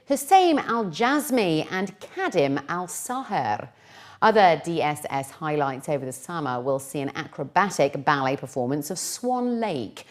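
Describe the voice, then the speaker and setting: Woman newsreader with swooping, lowish voice. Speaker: news presenter. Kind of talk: scripted news narration.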